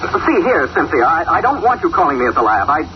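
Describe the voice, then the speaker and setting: A man's voice: fairly high pitch, very animated, fast. An actor playing an edgy, scheming man, curt and evasive, in a 1940s radio drama style. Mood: irritated, defensive. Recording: noisy dull vintage radio recording.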